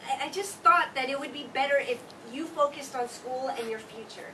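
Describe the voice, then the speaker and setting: A high female voice comes from a distance over clear noise. An actress plays a lively forty-year-old mother who teases her children in a family stage play. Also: normal speed. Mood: resigned. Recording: distant, noisy live stage recording.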